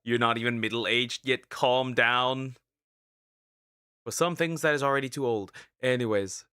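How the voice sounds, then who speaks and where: A man in his twenties giving casual live reaction commentary, excitable and stammering, tossing out quick sarcastic asides. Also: medium pitch, smooth, no echo, studio quality. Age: 20s. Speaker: streamer reacting to a video.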